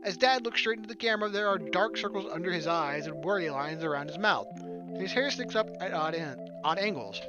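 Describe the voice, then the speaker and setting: A man's voice: high-pitched, expressive, a little fast, highs cut off, over loud music. A man doing let's play commentary on a game, reading narration aloud and adding jokey, sarcastic asides. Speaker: gaming let's player.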